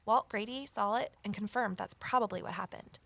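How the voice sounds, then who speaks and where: An actress playing a wary, determined amateur sleuth in a naturalistic audio drama, conversational and gently wry. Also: female voice, mid-range voice, fairly quick, vocal fry, no room sound. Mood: earnest, concerned. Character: Evelyn, wary determined former journalist turned amateur sleuth. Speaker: lead character and first-person narrator.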